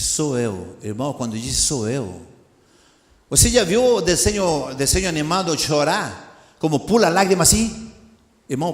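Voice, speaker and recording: A man's voice: high voice, vocal fry. A man preaching in a church, his first language Spanish and his Portuguese carrying a Spanish accent, who tells a story to the congregation and acts out the dialogue. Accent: Spanish-speaking. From Latin America. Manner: animated, acts out dialogue, addresses congregation directly. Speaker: missionary preacher. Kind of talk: storytelling sermon. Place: church sanctuary. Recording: echoey church hall.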